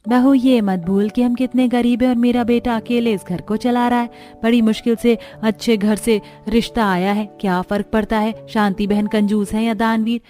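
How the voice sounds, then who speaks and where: A woman's voice: a little high, fairly even intonation, normal speed, few pauses, warm tone, natural voice. A woman voicing a poor, middle-aged mother in a cartoon story, earnest and fretful. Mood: earnest, resigned. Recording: music under the voice.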